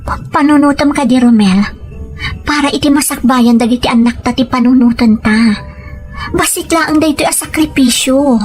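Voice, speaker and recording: A woman's voice: sits high, normal speed, faint music behind, natural voice. A woman playing a determined wife and mother in a dramatized story, earnest and persuasive. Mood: pleading, insistent. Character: determined wife and mother set on working abroad. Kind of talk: dramatized first-person storytelling with dialogue.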